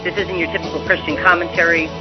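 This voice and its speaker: A high-pitched male talk radio host with an energetic, restless delivery, heard over a dull, lo-fi broadcast with faint music underneath. He often repeats his words as he starts a thought, then rolls on eagerly. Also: quick pace.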